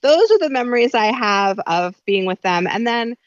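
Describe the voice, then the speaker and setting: A woman's voice: a little high, lively intonation, moderate pace, dry recording. A woman with a New York accent, a guest author telling her life story in a reflective, meandering way with plenty of fillers. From raised in New York. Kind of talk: casual interview talk. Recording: dull lo-fi dry sound.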